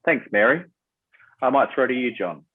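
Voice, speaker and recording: A man's voice: mid-range voice, lively intonation, normal speed. A man chairing an online panel discussion, moderating and handing over to speakers. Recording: tinny phone-like online call audio.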